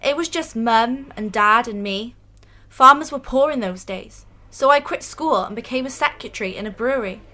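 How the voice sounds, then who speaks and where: Radio drama role: a woman as an earnest young female fan, high and bright, hesitant and self-effacing. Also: normal speed. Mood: wistful, earnest. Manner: hesitant, stammering.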